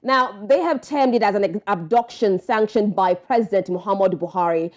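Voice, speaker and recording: A woman's voice: medium pitch, normal speed. Nigerian woman anchoring the news. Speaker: news anchor and interview host. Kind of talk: formal news presenting and interviewing.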